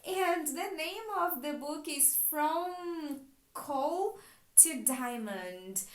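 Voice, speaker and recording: High-voiced Brazilian woman chatting about books. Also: fairly bright tone. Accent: Brazilian Portuguese. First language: Portuguese. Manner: chatty, thinking aloud, friendly. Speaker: book vlogger and private teacher. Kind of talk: casual book haul vlog. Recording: echoey room.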